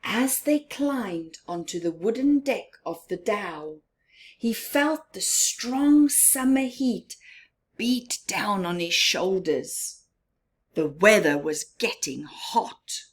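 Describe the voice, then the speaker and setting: Woman doing kids story time, slow n animated, talks right to the little listeners w simple explanations. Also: mid-range voice, breathy edge. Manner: addresses young listeners directly with simple explanations and questions. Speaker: children's story-time host and reader. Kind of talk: read-aloud storytelling for young children with explanatory asides.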